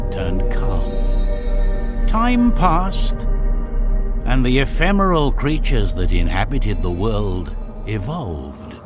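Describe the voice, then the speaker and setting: A man narrating a game's story in a solemn, theatrical storybook style with measured delivery. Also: mid-range voice. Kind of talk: dramatic scripted storybook narration for a game. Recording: music behind the voice. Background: loud music.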